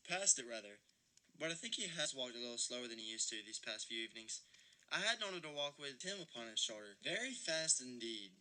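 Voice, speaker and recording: An actor playing a young Victorian son remembering a lost brother in an audio play. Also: male voice, mid-range voice, sing-song, fairly quick, pushed and bright, rough recording. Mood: wistful. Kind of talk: theatrical audio drama acting.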